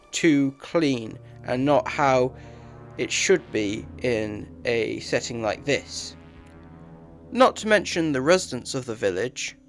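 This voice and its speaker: A man delivers a scripted review of audio drama releases at a middling pitch. Music plays underneath his voice.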